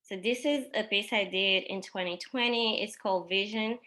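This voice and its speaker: A woman whose first language is French, possibly from Mali, presents her own artworks in an unhurried talk, pausing often with ums. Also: mid-range voice, bright, forceful tone, rough recording. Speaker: exhibiting artist.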